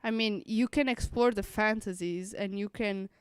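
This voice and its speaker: Very clear-voiced woman streamer. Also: mid-range voice, steady tone, moderate pace. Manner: reflective, repeats and rephrases points. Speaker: streamer reacting to a game. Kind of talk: casual live commentary.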